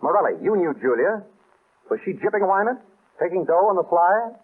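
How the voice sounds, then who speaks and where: An actor playing a suave, wry detective who politely takes command of a room in an old-style radio drama. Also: male voice, high voice, big pitch swings, fairly quick. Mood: confident, probing. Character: suave, wry male detective hosting a dinner reveal. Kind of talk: 1930s radio drama acting. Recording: tinny, band-limited old radio broadcast.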